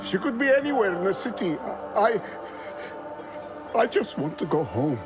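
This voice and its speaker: A scared, pleading scholar held captive in a game cutscene. His voice is very high and swings widely. He speaks slowly and haltingly, full of anxiety, over clear music. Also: sing-song. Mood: anxious, weary. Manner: halting, anxious. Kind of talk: video game cutscene acting.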